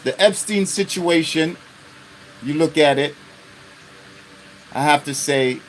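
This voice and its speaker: A man's voice: a little high, takes it slowly, slight background noise, low-quality recording. A man in his fifties hosting an unscripted live stream, talking bluntly and profanely and often checking in with his listeners. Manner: blunt and profane, with constant rhetorical check-ins.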